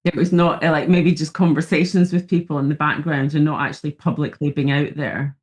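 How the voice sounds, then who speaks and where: A woman co-hosting a podcast in a casual chat, backing up her partner with quick affirmations. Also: low-pitched, level tone. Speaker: podcast co-host.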